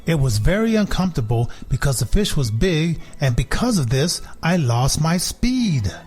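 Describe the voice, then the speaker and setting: A man reading a children's storybook as a sly, contented big house cat, in a measured, deliberate storytelling way. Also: on the high side, sing-song, a little mellow, breathy edge, soft background music. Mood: sneaky, earnest.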